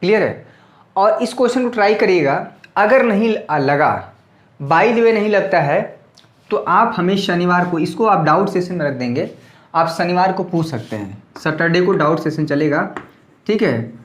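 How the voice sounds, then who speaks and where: A man's voice: sits high, lively intonation, normal speed, echoey room. A man teaching a maths lesson at a board with a slightly sore throat, talking casually and often checking that students follow. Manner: chatty, repeats checks like "clear?" to students.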